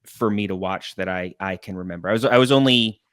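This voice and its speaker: A man in his thirties hosting a casual sports interview, speaking haltingly and often repeating words as he goes. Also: sits low, fairly bright tone, dry recording, studio quality. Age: 30s. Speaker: podcast host, interviewer.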